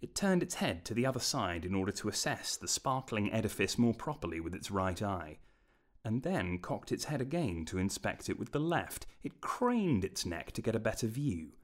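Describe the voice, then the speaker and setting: A man narrates a fantasy tale in a scripted, storybook style. His voice sits very low, yet it moves through a lively range of intonation. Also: moderate pace.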